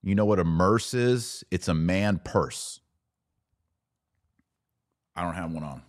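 A man's voice: low voice, slow, no room sound, clean studio sound. A middle-aged American man hosting a podcast, giving opinionated religious commentary in an informal, slangy, mocking and incredulous way.

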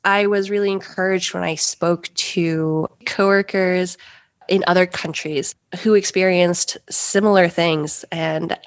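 A young woman and pastry chef answering interview questions earnestly, with soft laughs between thoughts. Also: on the low side, steady tone, a little slow, dry recording. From Los Angeles area, US. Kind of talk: reflective interview talk.

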